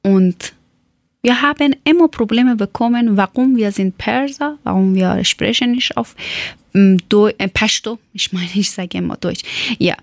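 A young woman with a Dari Persian accent speaks German slowly and haltingly, stopping often to search for words and correct herself. Her voice sits at a middling pitch, and the recording is dry and close. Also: no echo. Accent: Afghan Persian (Dari). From Afghanistan, Herat. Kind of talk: casual personal account.

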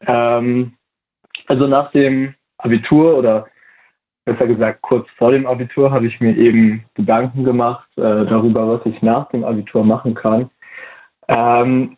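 A young man in his twenties answers interview questions in a relaxed but halting way, pausing often and restarting his sentences. His voice sits at an average pitch. It comes through a narrow, phone-like line that sounds tinny. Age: 20s. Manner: hesitant, many fillers and restarts. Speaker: interview guest, volunteer at a museum.

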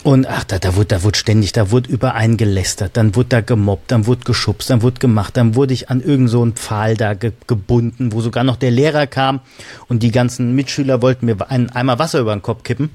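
A man's voice: mid-range voice, fairly even intonation, quick pace, darkish tone. A man hosting a casual podcast conversation, speaking colloquially and candidly with a joking streak. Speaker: podcast host.

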